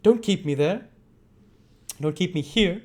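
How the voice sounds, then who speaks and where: A man acting a barking, high-pitched storybook villain. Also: lively intonation, a little slow, a little mellow. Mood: impatient. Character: menacing, impatient, scornful man, a sinister villain.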